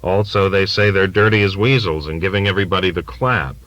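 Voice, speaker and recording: An old working-class father , acted out by a male audiobook reader, talks in a forceful, folksy ramble. He is bigoted and confiding, leaning hard on his points in a sharp-edged voice. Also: sits low, steady tone, a little fast, dry recording, lo-fi sound. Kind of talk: audiobook character dialogue.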